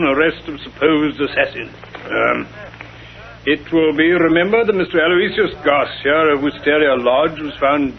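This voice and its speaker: Dull vintage radio drama: man as middle-aged British sidekick, slightly high voice, genial, bumbling, old-fashioned. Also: close to an everyday voice.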